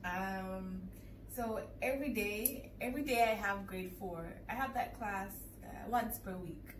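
A young woman chatting casually, telling lively stories about her students and acting out their voices. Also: mid-range voice, unhurried. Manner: lively, acts out kids' voices. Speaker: English teacher in Japan. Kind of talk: casual chat, telling anecdotes. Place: indoor room with framed photos. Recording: echoey room, far mic, clear room hum.

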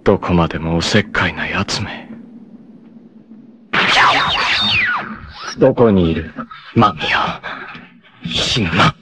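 Doomed martial-arts warrior, proud, devoted, dramatic, unhurried speech, slightly low pitch, 1980s anime. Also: male voice, background noise. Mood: concerned. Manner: solemn, dramatic, deliberate delivery with intense outbursts.

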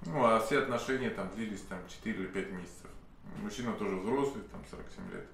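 A man's voice: on the low side, fast, vocal fry, fairly bright tone. Hesitant man with a cold in an echoey room. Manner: rambling, many hesitation sounds and restarts. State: slightly hoarse with a cold. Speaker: self-styled sorcerer telling a client's story. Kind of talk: unscripted monologue to camera. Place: indoor office room with candles. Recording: very echoey room, faint hiss.

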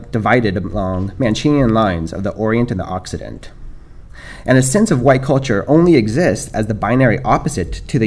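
A middle-aged American man giving a measured academic talk at a lectern, reading from notes with small restarts. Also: on the low side, a little mellow. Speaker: panel speaker. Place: dark lecture hall, standing at a lectern. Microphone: lectern microphone.

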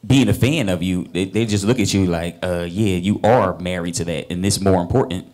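A man's voice: low voice, brisk, darkish tone. A man on a panel speaking earnestly and conversationally into a handheld mic. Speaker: panel guest.

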